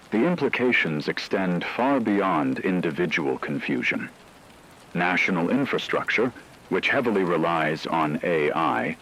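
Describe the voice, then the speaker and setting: A man playing a grave radio news anchor, reading a scripted crisis bulletin in a measured, formal, serious way. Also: low-pitched, a bit bright, slight background noise, exaggerated voice, rough recording.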